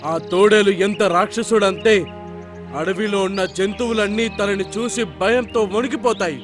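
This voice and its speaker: A man's voice: high voice, fairly even intonation, moderate pace, soft background music, lightly acted. A man voicing a cautious, fearful elephant who advises a king in a children's cartoon, anxious and warning. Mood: alarmed warning. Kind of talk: Telugu children's cartoon voice acting.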